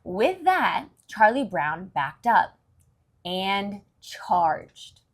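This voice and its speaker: A woman's voice: medium pitch, big pitch swings, very slow. A woman reading a story aloud to young children, expressively, with simple explanations along the way. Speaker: children's story reader and host.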